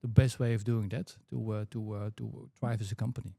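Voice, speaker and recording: A middle-aged Dutch man with a Dutch accent, a podcast guest who chats in a relaxed way and often repeats words while he searches for them. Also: fairly low pitch, fairly quick, vocal fry, dry recording, studio quality. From Netherlands. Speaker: guest, head of product. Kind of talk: casual podcast conversation.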